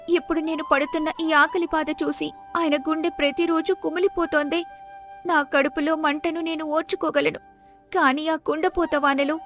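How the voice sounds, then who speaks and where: Lady doing a poor fisherman's wife, tearful and sorrowful, really high voice, storybook drama over faint music. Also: fairly bright tone. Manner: tearful lamenting. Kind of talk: dramatised moral story acting.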